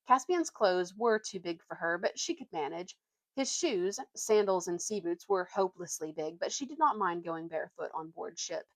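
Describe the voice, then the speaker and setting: A woman's voice: mid-range voice, dry recording, low-quality recording. A young woman with an informal, youthful way of talking, reading a storybook aloud and chatting as a vlogger. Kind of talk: storybook narration and casual chat.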